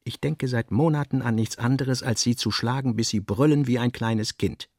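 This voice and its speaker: Guy reading as brooding cruel honest man, radio literature, quiet n heavy delivery, bone dry sound. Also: normal speed, dry recording, natural voice. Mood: quiet menace. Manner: low, quiet, weighty delivery. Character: brooding, cruelly candid man in intimate confrontation.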